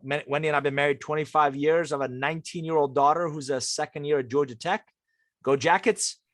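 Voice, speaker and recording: A man's voice: on the high side, fairly even intonation, brisk, fairly bright tone, no room sound, studio quality. A man in his fifties from Iran, a native Persian speaker, talking conversationally and emphatically as an interview guest who coaches leaders. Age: 50s.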